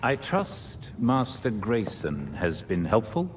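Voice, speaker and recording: An actor playing a polite, dignified older butler with a formal, measured manner, in a game cutscene. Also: male voice, fairly low pitch, sing-song. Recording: background noise.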